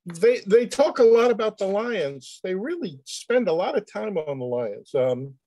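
A man speaking off the cuff in a comic, excitable way, often repeating words as he goes on a video call. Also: high voice, a little slow, no room sound. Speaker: panel reader answering questions. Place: indoor room on a video call.

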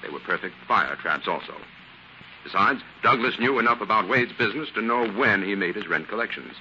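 An actor playing an assured, authoritative detective explaining a case in a 1940s radio drama. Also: male voice, lowish voice, lively intonation, fairly quick, pushed and bright, full character voice. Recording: dull, hissy 1940s radio recording.

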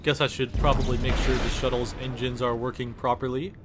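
A man's voice: medium pitch, little pitch movement, fairly quick. A man doing comedic parody dubbing of a game, sarcastic, exasperated and sweary. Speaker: parody series creator voicing the player character. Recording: voice over game music.

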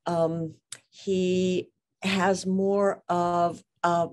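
A woman's voice: lowish voice, level tone, drawn-out words. A woman speaking as an interviewed author and lawyer in a conversational interview, careful and precise, often rephrasing her points.

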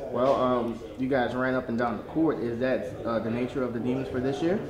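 A man asking postgame sports interview questions in a halting, hesitant manner. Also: medium pitch, steady tone. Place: echoey basketball arena. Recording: echoey, loud crowd hubbub behind.

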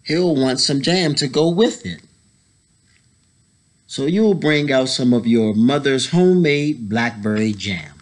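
Slow-paced man reading a picture book aloud. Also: medium pitch, expressive. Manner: unhurried, pausing, explaining to children. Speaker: story time reader, educator. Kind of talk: children's picture book read-aloud with casual asides. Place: indoors, school library.

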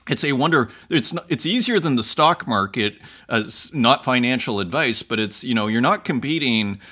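A man's voice: mid-range voice, expressive, moderate pace, pushed and bright. A man in his forties, an artist talking casually to camera while reading viewer comments, rambling with fillers and restarts, in a room with bookshelves. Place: home room with bookshelves, indoors. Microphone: close webcam-style framing.

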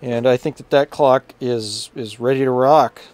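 A man giving casual, hands-on repair commentary in an informal, unhurried way, thinking aloud as he works. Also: medium pitch, steady tone, a little mellow, no echo. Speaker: repairer presenting his own workshop video.

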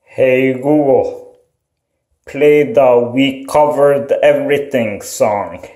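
A man in a small bathroom, slowly and flatly giving voice commands. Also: mid-range voice. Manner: slow, flat, deliberate commands. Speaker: video creator. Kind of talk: repeated voice commands. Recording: echoey bathroom.

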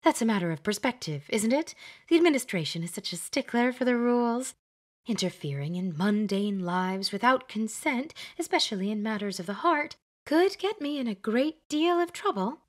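A woman voicing a fussy, chattering older witch who plays matchmaker, bossy and brisk in an audiobook. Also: a little high, animated, pitched above the performer's own voice, lightly acted. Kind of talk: audiobook character voice.

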